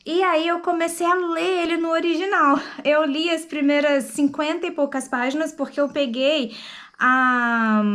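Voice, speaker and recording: A woman chatting to the camera in a casual book review and explaining things to her viewers. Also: high voice. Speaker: book vlogger.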